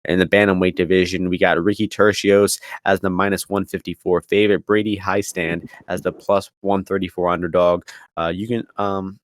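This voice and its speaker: Man, low voice, casual sports betting show host, very dry recording. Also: level tone, moderate pace, no room sound. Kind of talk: casual fight preview talk.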